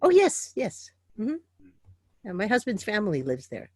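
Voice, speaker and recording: A woman's voice: medium pitch, huge pitch swings, normal speed, darkish tone, husky. A woman professor chatting with a student, giving short agreeing replies. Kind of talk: casual conversation.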